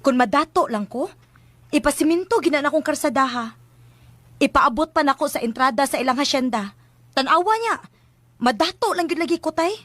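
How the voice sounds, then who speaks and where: Chatty young cebuano daughter in a radio drama, really high voice, big dreams, dry close sound. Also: female voice, expressive, fairly quick, long pauses, a bit bright, dry recording. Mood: insistent. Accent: Filipino, Cebuano. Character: ambitious, playful young daughter who dreams of riches.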